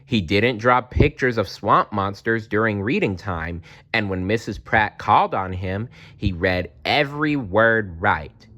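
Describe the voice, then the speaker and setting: Low-voiced man reading a children's story, unhurried. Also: expressive, sharp tone. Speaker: storybook reader. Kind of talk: expressive children's read-aloud narration.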